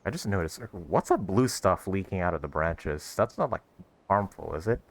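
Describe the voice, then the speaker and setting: Man, gaming host, deep voice, very varied intonation, casual playful commentary, reacting aloud. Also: animated, moderate pace. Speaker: gaming video host, streamer. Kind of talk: casual let's play commentary. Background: game music.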